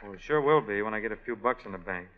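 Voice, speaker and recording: A man's voice: fairly low pitch, expressive, noisy background, close to an everyday voice. A man playing a joking song-and-dance man in a 1940s radio play, on a dull old broadcast recording. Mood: breezy. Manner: breezy, joking, quick patter. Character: wisecracking male song-and-dance man Jim. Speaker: actor in radio play, also narrator.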